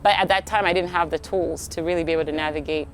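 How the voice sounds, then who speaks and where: A woman's voice: low-pitched, fairly even intonation, fast, a little hiss or hum. A young woman from Uganda, answering interview questions outdoors in a chatty, reflective way, often saying "like". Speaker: guest, tree program coordinator. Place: outdoors at a tree nursery.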